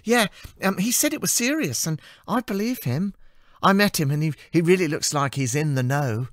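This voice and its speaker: A man's voice: on the high side, lively intonation, normal speed, no echo, clean studio sound, pitched above the performer's own voice, lightly acted. A man voicing a cheerful, gossipy young female office assistant in an audiobook, chirpy, breathless and earnest.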